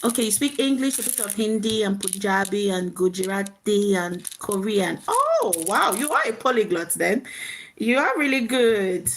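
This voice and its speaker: A woman's voice: medium pitch, sing-song, normal speed, a little hiss or hum. A woman hosting a casual live chat, speaking reflectively in short, repeated phrases to her viewers. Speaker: live stream host.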